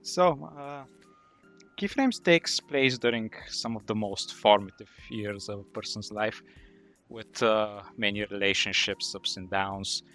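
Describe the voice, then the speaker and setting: A man's voice: on the low side, measured pace, pushed and bright, soft background music. A man co-hosting a podcast interview. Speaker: podcast host.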